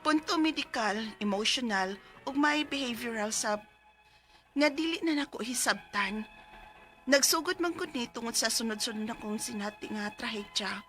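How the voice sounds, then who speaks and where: An actress as a bright, high-voiced young Cebuano mother. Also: normal speed, faint music behind, natural voice. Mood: earnest narration. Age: 30s. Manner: expressive, animated. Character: 30-year-old single mother, lively, caring, worried about her child. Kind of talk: Cebuano radio drama, letter narration and dialogue.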